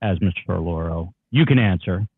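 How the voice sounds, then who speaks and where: A man's voice: deep voice, a little fast, breathy edge, dry recording, narrow, tinny audio. A man reading the part of a witness's attorney in a deposition, giving curt, clipped instructions. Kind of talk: dramatic reading of a deposition transcript.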